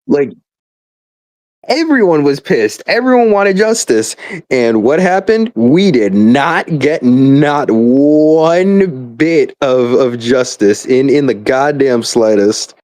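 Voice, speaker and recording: Young male, mid-pitched, dry close sound. Casual exasperated ranter: sweary, hyperbolic, stumbles over repeated starts. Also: lively intonation, slow pace, darkish tone, dry recording. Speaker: gamer. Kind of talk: casual rambling rant.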